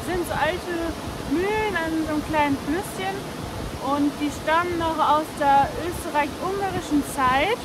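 A young woman talks casually to her camera in a high voice. She is outdoors by streams and falls, and clear water noise rushes behind her. It sounds like an informal travel vlog. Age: young adult. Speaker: travel vlogger. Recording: loud rushing water noise. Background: rushing water.

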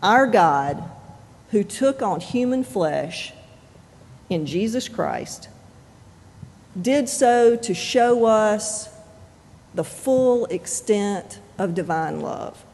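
Slow, gravelly preacher in a reverberant sanctuary. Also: medium pitch, animated, a little mellow. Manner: slow, measured preaching with deliberate pauses. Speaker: pastor. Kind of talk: sermon. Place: church sanctuary. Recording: long reverb of a church sanctuary.

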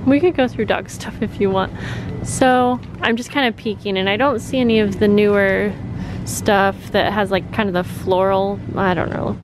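A woman's voice: medium pitch, fairly even intonation, normal speed. A woman vlogging casually as she shops, talking informally and in a friendly way to her viewers. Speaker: vlogger, host. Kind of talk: casual chatty shop-along and haul commentary. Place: large discount store, indoors. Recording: noisy store ambience.

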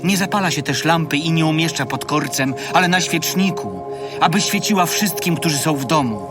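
An actor playing a calm, solemn teacher preaching to his disciples in a gospel audio drama. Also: fairly high pitch, a little fast, distant mic, music in the background, lightly acted. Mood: solemn, teaching.

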